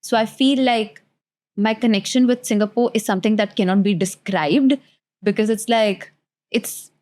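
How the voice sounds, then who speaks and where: A woman's voice: mid-range voice, normal speed, a little mellow. A woman in her twenties from India, chatting casually as a talk show guest. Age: 20s. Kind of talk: casual chat.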